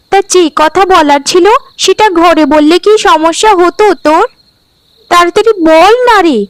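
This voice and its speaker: A woman's voice: very high-pitched, dry recording, low-quality recording. A woman voicing a grumbling, timid young sister in a fairy-tale cartoon, with a whiny, complaining delivery. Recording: background music under the voice.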